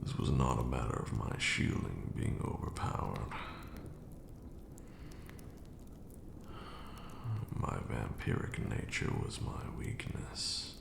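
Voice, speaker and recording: A man playing an ancient, haughty vampire lord and fond mentor in a slow, theatrical ASMR roleplay monologue, murmured in a deep, gravelly voice. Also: echoey room, heavy background noise, heavily acted. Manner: theatrical, drawn-out, condescending.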